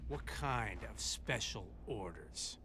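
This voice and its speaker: A man's voice: on the low side, lively intonation, slow pace, pushed and bright, slight background noise, exaggerated voice. A man playing a proud, defiant general in a game cutscene, speaking in firm, terse, plain words. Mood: defiant, stern. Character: proud, defiant American lieutenant general, honorable and gruff. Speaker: army general. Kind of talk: scripted game cutscene drama.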